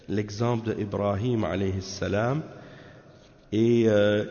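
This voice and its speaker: A man from Canada giving a measured religious lesson on a text, often tagging his sentences with questions. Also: lowish voice, darkish tone. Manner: measured, peppers talk with tag questions. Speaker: religious teacher. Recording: echoey hall, dull lo-fi sound.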